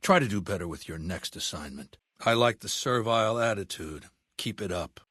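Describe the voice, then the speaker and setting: Casting: ruthless ageing warlord for a video game, lowish pitch, cold commanding formal delivery, dry close sound. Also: male voice, lively intonation, moderate pace, bright, forceful tone, husky, dry recording, exaggerated voice. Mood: contemptuous. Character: imperious, ruthless ageing male warlord commanding a slaver legion. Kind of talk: video game character acting.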